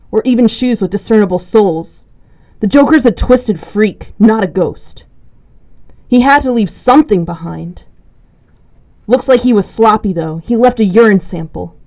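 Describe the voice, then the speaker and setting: A woman's voice: medium pitch, darkish tone, natural voice. A woman playing a proud, scornful young boy sidekick, fiercely loyal to his father, in an amateur superhero radio drama.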